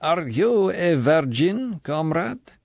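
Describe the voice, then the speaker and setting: A man's voice: on the high side, big pitch swings, slow, darkish tone, no room sound, pitched above the performer's own voice, heavily acted. A man voicing a sugary, menacing middle-aged female Soviet colonel, in an audiobook. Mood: probing. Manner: falsely sweet, smooth, then cold and commanding.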